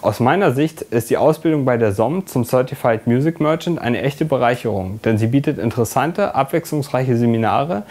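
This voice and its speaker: A man's voice: medium pitch, expressive, normal speed, few pauses, a little mellow. A man in his twenties who works in sales delivers a scripted testimonial to camera. His delivery is formal and recited. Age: 20s. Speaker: sales employee.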